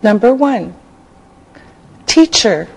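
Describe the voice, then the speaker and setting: Slow, careful older woman teacher. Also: fairly high pitch, very animated, poor audio. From Canada. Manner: slow, clearly enunciated dictation. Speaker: English teacher. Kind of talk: slow scripted classroom teaching. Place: TV studio set. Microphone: lapel mic.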